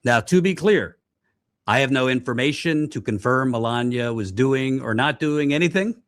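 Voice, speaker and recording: A man hosting a news commentary show, speaking deliberately and repeating points for emphasis. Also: mid-range voice, lively intonation, a bit bright, dry recording. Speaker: host, commentator. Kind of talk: news commentary to camera, reading out quotes.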